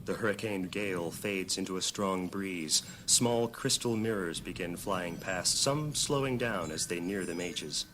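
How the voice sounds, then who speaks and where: A man acting as the solemn, dramatic narrator of an epic fantasy audio drama, with measured, ornate delivery. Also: low-pitched, noisy background. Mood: dramatic, ominous. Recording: very loud music and effects behind.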